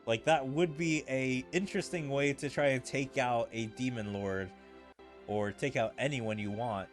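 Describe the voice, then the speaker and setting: A man's voice: medium pitch, fairly even intonation, measured pace, soft background music. A man giving casual game commentary as a streamer. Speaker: gaming streamer.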